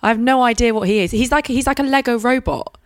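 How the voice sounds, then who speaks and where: A woman's voice: on the high side, fast. A woman guest on a podcast, sniffly, chatting easily and laughing often. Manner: laughing, easygoing. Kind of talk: casual chat.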